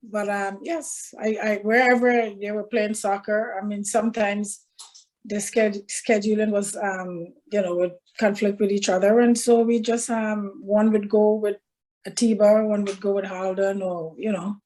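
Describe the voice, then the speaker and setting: Slow-speaking older Trinidadian woman. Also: medium pitch, flat delivery, a little mellow, poor audio. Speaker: interviewee, mother of a footballer. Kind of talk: casual interview.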